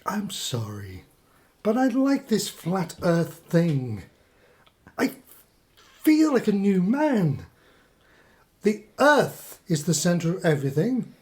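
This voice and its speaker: A middle-aged British husband in a radio drama, a newly converted believer in odd theories. He explains things earnestly and pedantically, and his pitch moves around in a lively way. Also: slow, pauses often, full character voice. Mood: earnest, quietly elated. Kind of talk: radio play acting.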